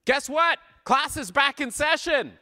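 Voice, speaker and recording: Canadian guy preaching, kinda high lively voice that jumps around, playful and chatty, big echoey church. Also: a little slow. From Southern Ontario, Canada. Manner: playful, conversational, asks the audience questions. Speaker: guest preacher. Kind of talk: informal sermon with audience interaction. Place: large church hall, speaking to a congregation. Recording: live hall with long reverb.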